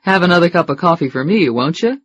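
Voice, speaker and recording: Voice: mid-range voice, animated, fast, fairly bright tone, breathy edge. A narrator voicing a chatty local radio announcer reading out a list of storm closings. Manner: chatty broadcast delivery.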